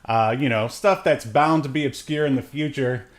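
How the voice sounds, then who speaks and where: A man co-hosting a podcast, talking casually and haltingly about entertainment news, often chuckling mid-sentence. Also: mid-range voice. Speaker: podcast co-host. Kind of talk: casual chat, movie news commentary.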